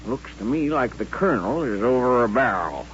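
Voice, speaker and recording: An actor playing a plain-spoken frontier bartender, folksy and matter-of-fact, in a radio western. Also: male voice, medium pitch, expressive, moderate pace, fairly bright tone, lightly acted. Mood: concerned, gossipy. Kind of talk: old-time radio western acting. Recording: muffled old radio recording with hum.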